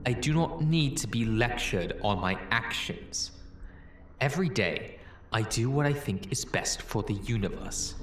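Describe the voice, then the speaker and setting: A man playing a guilt-ridden, defiant time-travelling doctor in an audio drama, earnest and halting in delivery. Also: mid-range voice, animated, a bit bright, roomy sound, a little hiss or hum. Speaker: lead actor in fan audio drama. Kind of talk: dramatic scripted fan audio acting.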